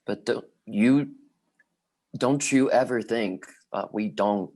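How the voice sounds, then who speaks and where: A man's voice: fairly low pitch, darkish tone. A man reading a stern, tender father. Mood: pleading. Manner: earnest, fatherly, measured. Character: stern but tender Cuban father, a judge. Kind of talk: play table read.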